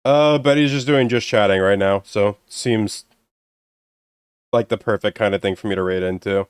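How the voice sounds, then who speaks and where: Tired-voiced young man with a deep voice. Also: moderate pace, smooth, dry recording, studio quality. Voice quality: deeper voice. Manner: relaxed, rambling, self-deprecating. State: tired, strained voice. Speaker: streamer. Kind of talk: casual end-of-stream chat with viewers.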